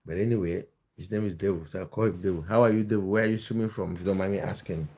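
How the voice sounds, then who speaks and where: Streamer host, male, from Cameroon; fairly low, warm voice, relaxed chat with frequent restarts. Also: racing. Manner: chatty, restarts words, reads viewer comments aloud. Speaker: football livestream host. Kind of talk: casual live chat with viewers.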